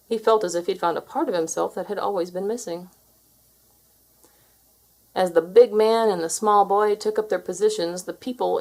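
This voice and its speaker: A woman reading a children's story aloud as an expressive narrator. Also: fairly low pitch, gravelly. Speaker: storybook reader.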